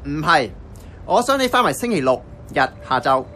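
Casting: earnest, scheming Hong Kong Cantonese cafe boss, high-pitched, very lively intonation, radio drama. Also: male voice, moderate pace, a little hiss or hum. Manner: animated, persuasive. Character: earnest, scheming small tea-restaurant boss rallying his staff.

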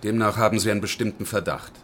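An actor playing an unflustered, dry chief inspector in a radio crime drama, speaking in a measured, matter-of-fact way. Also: male voice, lowish voice, steady tone, a bit bright, a little hiss or hum, lightly acted. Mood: businesslike.

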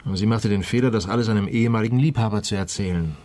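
Low-voiced, probing police detective played by a man. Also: a little mellow, lightly acted. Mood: grimly insistent. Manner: pointed, deliberate, insistent questioning. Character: shrewd, probing male police detective pressing a suspect. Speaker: actor in a radio crime drama. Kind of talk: German radio play dialogue.

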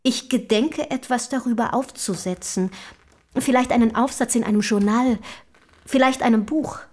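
A woman's voice: on the high side, normal speed, lightly acted. An actress playing an eager, self-assured young noblewoman writer of the early 1800s in a radio play, with formal, inquisitive diction. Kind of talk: German radio play acting.

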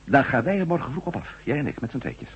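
An actor playing a wry, adventurous ex-astronaut reporter in a Dutch science-fiction radio drama, animated in his delivery. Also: mid-range voice, fast, husky. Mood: eager, hesitant. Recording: dull, noisy old radio recording with hum.

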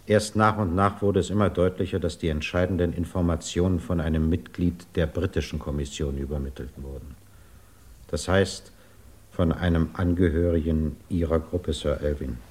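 A very low male voice plays a resolute investigator in a 1950s radio drama. He is formal and courteous, choosing each phrase with deliberate care. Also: fairly even intonation, a little mellow, breathy edge. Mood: measured, matter-of-fact.

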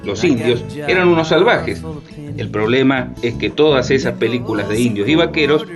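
A man's voice: medium pitch, expressive, normal speed, over music, lightly acted. A man playing a confident, know-it-all explainer, narrating history emphatically in an educational radio drama. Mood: confident, wry. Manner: didactic, emphatic. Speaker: main narrator.

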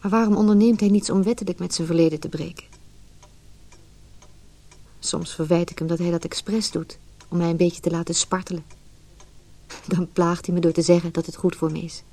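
Mellow-voiced, resolute, unhappy wife. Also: female voice, lowish voice, fairly even intonation, normal speed, long pauses. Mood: wistful, uneasy. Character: resolute, unhappy wife leaving her marriage. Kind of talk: radio drama acting, dialogue and reflective monologue.

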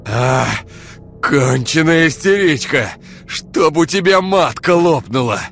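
A man voicing a battered, raspy-voiced brother who wheezes and screams. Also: on the low side, expressive, slow pace, sharp tone, faint music behind, voice pushed up, full character voice. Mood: screaming. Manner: wheezing, then screaming in pain. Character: battered hoarse man, vicious older brother.

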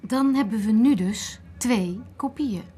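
High-voiced, inquisitive woman. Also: slow, warm tone, husky, heavily acted. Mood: inquiring. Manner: polite, questioning. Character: polite, inquisitive female companion of old man. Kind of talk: radio drama acting.

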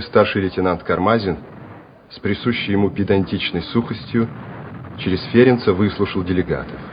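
A man narrating a dramatic literary story for radio, measured and expressive. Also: on the low side, a little hiss or hum. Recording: muffled old radio recording, faint music.

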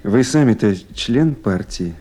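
Mellow, authoritative Party boss. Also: male voice, medium pitch, animated. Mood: curt. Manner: measured, official, firmly instructing. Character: calm, authoritative male Soviet Party official. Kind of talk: Soviet radio play acting.

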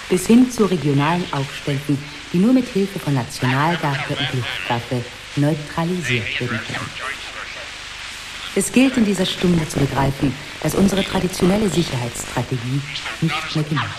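Low-voiced, solemn female head of state. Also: background noise. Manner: measured, declamatory. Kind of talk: formal political address in radio satire.

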